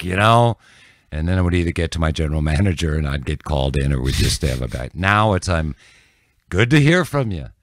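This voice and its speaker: An older man, a local news anchor, chatting casually as an interview guest in animated, halting bursts at a close studio mic. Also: rumbling low, vocal fry, no room sound. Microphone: close studio podcast mic.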